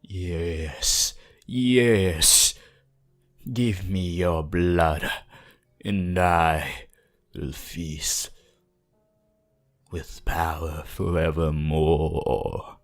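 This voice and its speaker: A man playing a weakened, bloodthirsty vampire in an ASMR roleplay, pleading and menacing in a theatrical, archaic way, with a husky voice that often drops to a whisper. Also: low voice, exaggerated voice. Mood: hungry menace. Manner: slow, halting, archaic and dramatic delivery.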